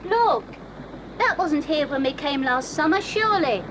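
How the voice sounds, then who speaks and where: Woman playing an eager schoolboy, really high, lots of tape hiss. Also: animated. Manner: keen, quick to exclaim. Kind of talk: 1980s audio drama acting. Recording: hissy old cassette tape.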